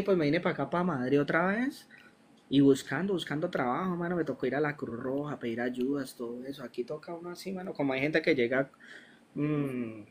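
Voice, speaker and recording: A young Colombian man with a Colombian accent, a guest in a casual interview, telling his story in an animated, slangy way that acts out the dialogue. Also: on the high side, a little hiss or hum.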